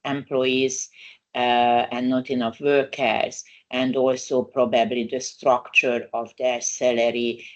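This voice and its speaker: A woman's voice: rumbling low, little pitch movement. Older Hungarian-accented woman presenting. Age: 60s. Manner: unhurried, frequent "uh" fillers, non-native grammar. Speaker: migration lawyer presenting a webinar. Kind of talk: webinar presentation.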